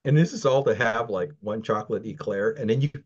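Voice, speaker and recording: Older man from the Philippines, American accent, low voice, meandering and hesitant, heard over a dull video call line. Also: fairly quick. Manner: rambling, many restarts and fillers. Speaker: interview guest. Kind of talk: casual interview. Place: home, virtual background.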